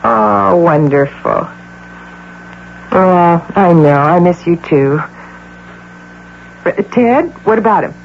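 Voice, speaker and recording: An actress playing a poised, guarded middle-aged advertising executive in a radio drama, composed and arch, with an American accent. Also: female voice, lowish voice, animated, slow pace, vocal fry, long pauses, full character voice. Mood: fond, motherly. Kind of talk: old-time radio drama acting. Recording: dull hissy radio drama recording with hum.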